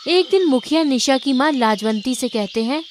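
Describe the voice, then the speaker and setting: A woman's voice: high voice, expressive, normal speed, slight background noise. A woman reading scripted story narration.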